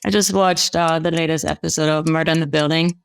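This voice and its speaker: A woman from Germany, a company president, chatting as a podcast guest in a relaxed, friendly way. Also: low voice, fast, vocal fry, clear, resonant tone, no room sound. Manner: relaxed, friendly, uses fillers like "you know". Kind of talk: conversational interview.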